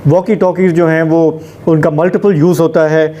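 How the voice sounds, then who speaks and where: A man presenting a live news discussion in a measured, explanatory way. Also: fairly high pitch, level tone, warm tone.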